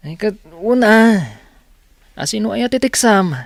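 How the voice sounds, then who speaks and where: A man's voice: high voice, measured pace, vocal fry. A man with an Ilocano accent playing a shy, lovestruck, hardworking village young man in a radio drama, hesitant and stammering when put on the spot. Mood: earnest.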